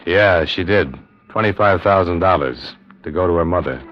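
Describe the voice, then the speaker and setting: An American actor playing a brisk, skeptical freelance insurance investigator in a 1950s radio detective drama. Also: male voice, low-pitched, dry recording, lightly acted. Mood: businesslike, probing. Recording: muffled vintage radio recording, dry studio.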